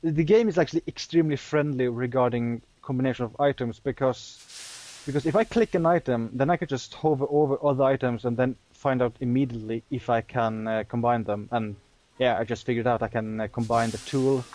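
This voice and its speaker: A man's voice: mid-range voice, fairly even intonation, fairly quick, darkish tone, no echo. Norwegian man rambling over a game. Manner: rambling, hesitant, laughs often. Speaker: game streamer. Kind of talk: let's play commentary.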